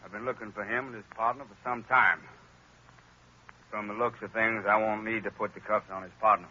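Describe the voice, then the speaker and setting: A dry, drawling country sheriff. His voice is mid-pitched with a sharp, bright edge. It comes through a dull old radio recording. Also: level tone, brisk, slight background noise. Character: dry, steady rural sheriff man. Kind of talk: 1940s radio acting.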